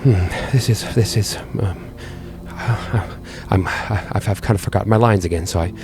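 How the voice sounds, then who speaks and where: A man's voice: fairly low pitch, music in the background, lightly acted. A man voicing a mousy, nervous, forgetful fellow who stammers, hums to himself and repeats his phrases in a tabletop game. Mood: hesitant, flustered. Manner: halting, stammering, repetitive, humming to himself.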